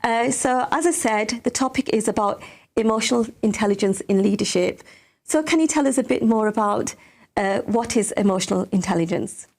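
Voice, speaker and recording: A woman hosting a talk show interview, her fairly high voice a little croaky from a recent cold. She speaks politely and keeps thanking her guest as she leads the questions. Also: moderate pace. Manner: warm, polite, thanks often. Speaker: host. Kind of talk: hosted interview.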